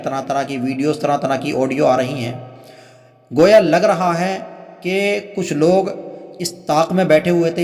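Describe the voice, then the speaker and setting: A man's voice: a little high, a little mellow. Man in heavy reverb, emphatic. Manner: pleading, repetitive, emphatic. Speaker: religious commentator and channel host. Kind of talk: earnest spoken commentary to viewers. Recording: long reverberant echo on the voice.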